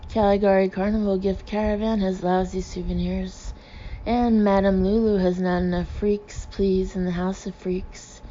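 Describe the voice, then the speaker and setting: A woman's voice: medium pitch, flat delivery, moderate pace, dark tone, muffled recording, a little hiss or hum, natural voice. A woman voicing a gushing, pleading female carnival fortune-teller in a storybook, with a foreign accent and broken English. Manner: broken English with odd word order.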